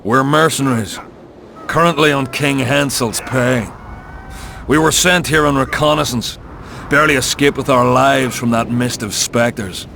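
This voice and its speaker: Tough mercenary leader, game character, creaky rasp, composed, commanding, noisy ambience behind. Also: male voice, medium pitch, sharp tone. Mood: composed, dry. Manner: measured, commanding. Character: cool, hard-bitten male mercenary commander. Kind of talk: video game character acting. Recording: clear background ambience noise.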